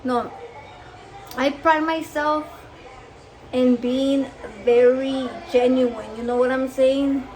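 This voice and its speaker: A woman's voice: sits high, steady tone, takes it slowly, pauses often, darkish tone, rough recording. A woman with a Mexican accent, Spanish her first language, chatting casually and profanely while cooking at home and switching between English and Spanish. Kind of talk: casual cooking vlog chat. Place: home kitchen.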